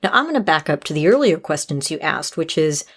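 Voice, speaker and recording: A woman's voice: low voice, big pitch swings, a little fast, a bit bright. A woman hosting an advice podcast, talking casually but in a frank, articulate, organized way. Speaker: podcast host answering listener questions.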